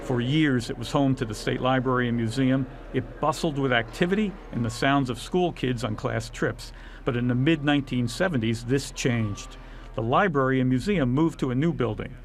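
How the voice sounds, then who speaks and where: A man's voice: mid-range voice, moderate pace, slight background noise. An American man narrating a scripted television news report as a reporter.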